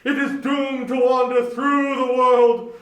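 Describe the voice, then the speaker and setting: An actor playing the tormented ghost of a dead businessman in a dramatic reading, declaiming in drawn-out, anguished remorse. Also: extremely high pitch, level tone, a bit bright, heavily acted. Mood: solemn, doomed. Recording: echoey room sound.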